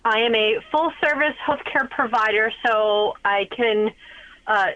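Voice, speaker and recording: A woman's voice: on the high side, unhurried, a bit bright. A woman farrier talking about her hoof care work in a rambling way, with many fillers, over the phone. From New Hampshire. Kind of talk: phone interview. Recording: tinny phone line.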